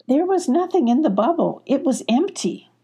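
A woman's voice: fairly high pitch, expressive, measured pace, creaky, mellow, slightly rough, pitched above the performer's own voice, exaggerated voice. A woman voicing an earnest, worried young female storybook creature with an emphatic, puzzled manner.

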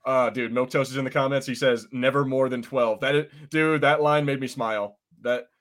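A man's voice: medium pitch, steady tone, moderate pace, a bit bright. Guy hosting a casual review podcast, jokes around a lot, swears, kinda crude. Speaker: podcast host.

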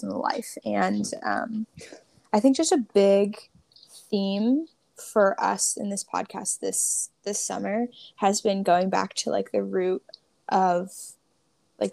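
Young woman with gravelly fry, podcasting. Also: medium pitch, darkish tone, no echo. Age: young adult. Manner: joking, self-deprecating, talks in loose restarts. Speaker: podcast co-host. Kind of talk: casual faith podcast chat. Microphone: remote call with headphones.

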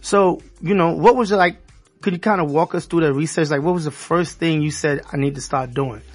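Male radio host, casual and slangy, voice on the high side. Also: fairly quick, slightly rough, soft background music. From Haitian background. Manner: loose, slangy, repeats himself. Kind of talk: casual interview.

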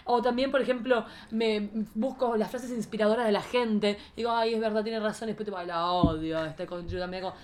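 A woman's voice: medium pitch, steady tone, a little fast, hardly any pauses, darkish tone, a little hiss or hum. Campy Argentine woman hosting. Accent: Argentine Rioplatense. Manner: campy, playful, slangy. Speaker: podcast host. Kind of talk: casual comic chat.

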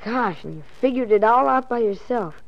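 A woman's voice: a little high, expressive, moderate pace, vocal fry. A woman playing an eager, polite young boy in a 1940s radio drama, full of earnest gee-whiz enthusiasm. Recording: muffled lo-fi 1940s radio recording.

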